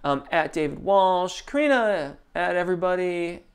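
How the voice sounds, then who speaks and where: A man's voice: sits high, animated, moderate pace, clear, resonant tone. A man, a vocal coach, answering viewers' questions on a live stream, thoughtful and full of restarts and ums. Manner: reads viewer comments aloud.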